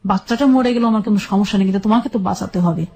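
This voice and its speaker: A woman with a Bangladeshi accent shares a personal story in an interview. Her voice is dark and soft-edged, sitting at an ordinary female pitch. She goes step by step in halting detail, often repeating a phrase. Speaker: guest telling her life story.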